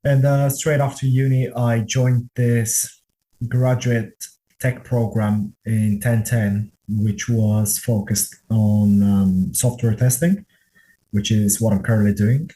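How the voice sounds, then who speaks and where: Very dark-voiced young Bulgarian man. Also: on the low side, fairly even intonation, slow, rough recording. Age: late 20s. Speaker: interview guest, software tester. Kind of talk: casual interview chat.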